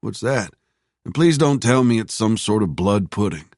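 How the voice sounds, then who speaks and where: A man's voice: on the low side, animated, moderate pace, darkish tone, no echo, clean studio sound, voice pushed up. A man playing an eager, pressing soldier. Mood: casual.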